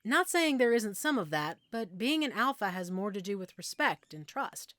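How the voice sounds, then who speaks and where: A woman playing a middle-aged, self-assured werewolf pack alpha in an intimate audio roleplay, explaining things conversationally with measured pauses. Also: medium pitch, big pitch swings, a bit bright, no echo. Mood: candid, proud.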